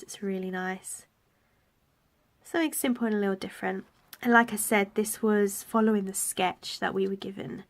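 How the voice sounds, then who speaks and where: A woman talks through a card-making project with a head cold, her voice stuffy and a little raspy. She speaks softly as she works, at times close to a whisper. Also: medium pitch. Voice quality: raspy, blocked-up nasal voice. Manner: soft, partly whispered while working. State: congested from a cold. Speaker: stamping craft demonstrator. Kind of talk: craft tutorial.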